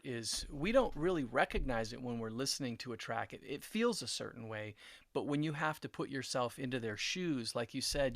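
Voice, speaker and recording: A middle-aged man hosting a conversational interview in a friendly, earnest way. His voice sits at a middle pitch and is recorded dry and close, and he often repeats words as he restarts his sentences. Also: creaky, fairly bright tone, dry recording. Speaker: interview host and producer.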